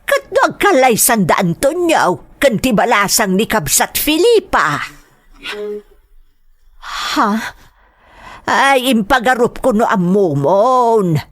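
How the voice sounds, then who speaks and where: A woman's voice: fairly high pitch, sing-song, slow pace, long pauses, full character voice. A woman with an Ilocano accent playing a nosy village gossip in an audio drama, chatty and prying. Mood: surprised, gossipy. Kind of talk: melodramatic audio drama acting.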